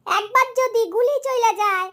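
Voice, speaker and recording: A performer voicing a bossy, mischievous small child in exaggerated, loud cartoon dialogue. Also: extremely high pitch, moderate pace.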